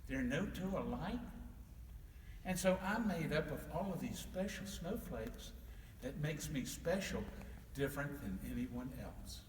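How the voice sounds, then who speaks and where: A man voicing a small, young, kindly male snowman in a storybook tale, speaking softly and slowly from far off in a roomy hall. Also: a little high, big pitch swings, a little hiss or hum. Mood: gentle, wise.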